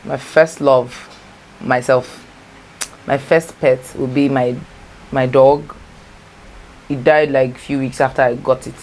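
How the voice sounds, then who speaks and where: A woman's voice: very deep voice, steady tone, slow, long pauses, a little mellow, a little hiss or hum, rough recording. A young Nigerian woman with an accent, Igbo as her first language, chatting casually and rambling through a storytime tag and correcting herself as she goes. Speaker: vlogger. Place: home, natural light.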